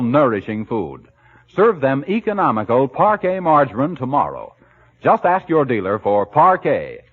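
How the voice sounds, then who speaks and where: A man's voice: mid-range voice, breathy edge. A man with an American accent announcing and selling in scripted 1940s radio style, polished and emphatic. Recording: tinny, band-limited 1940s radio recording.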